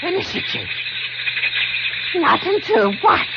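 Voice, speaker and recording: A muffled, very noisy vintage radio drama recording carries a crazed lighthouse keeper. His voice is high and cackling. He declaims grandly, like the ham actor he once was. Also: very animated, takes it slowly, vocal fry, exaggerated voice. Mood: frantic, giggling. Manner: theatrical, giggling, grandiose. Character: hysterical, theatrical madman, a lighthouse keeper and former actor. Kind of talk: 1950s radio acting. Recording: noisy, band-limited old radio recording.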